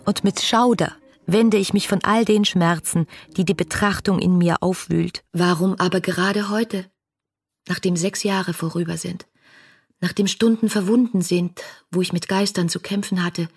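A woman's voice: on the low side, steady tone, moderate pace, husky, no echo, clean studio sound. An actress playing a passionate, lonely young woman in a literary radio drama, intimate and poetic, often sinking into whispers. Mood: anguished longing. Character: passionate, adoring, lonely young woman writing love letters.

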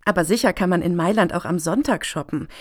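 A woman's voice: on the low side, fast talker, natural voice. A woman playing a breezy, self-satisfied, well-off grant applicant in a radio satire, flippant and entitled. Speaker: actor in satirical radio play.

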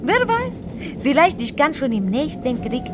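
Radio drama: a young, ambitious spy, blithe and businesslike with animated intonation, over loud vehicle noise. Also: female voice, sits high, measured pace. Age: young adult. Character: pretty young female spy, matter-of-fact and ambitious. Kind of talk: German radio play acting.

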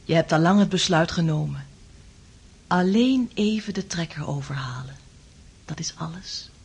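A woman's voice: lowish voice, normal speed, gravelly, husky, heavily acted. An actress playing a ghostly, insistent woman in a radio drama, soothing and coaxing, often whispering. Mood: eerily tender.

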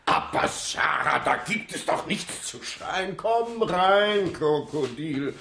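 Animal doctor, high creaky voice, slow, gently chiding, children's radio play, echoey room. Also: male voice, expressive, exaggerated voice. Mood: calm reassurance. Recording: roomy, echoing studio with background noise.

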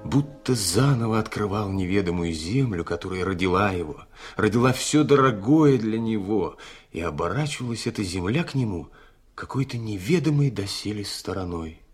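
Male radio drama narrator: lowish, raspy, reflective, expressive intonation. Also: moderate pace, faint music behind.

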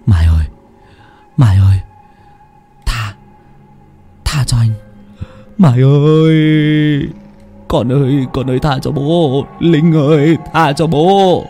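A man voicing a drunk middle-aged village man who slurs and mumbles slowly. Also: medium pitch, wildly swooping pitch, soft background music, exaggerated voice. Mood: begging. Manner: slurred, slow, mumbling.

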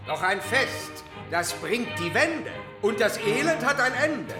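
Pantomime verse narrator, playful, sing-song, unhurried, echoing, music behind. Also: male voice, extremely high pitch, sing-song, full character voice. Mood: playful, festive. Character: playful rhyming fairy-tale pantomime narrator. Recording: echoey, with loud music behind.

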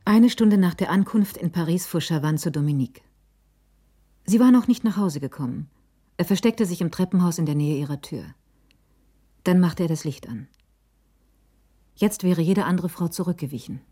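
A woman narrating a scripted German radio crime drama in a measured, literary delivery. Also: on the low side, animated, warm tone, breathy edge.

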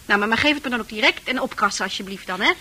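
Brash, husky, high-voiced prostitute. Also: female voice, very fast, pushed and bright. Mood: scornful, impatient. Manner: mocking, brash, taunting. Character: hard, scornful prostitute, proud, wounded underneath. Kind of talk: Dutch radio play acting.

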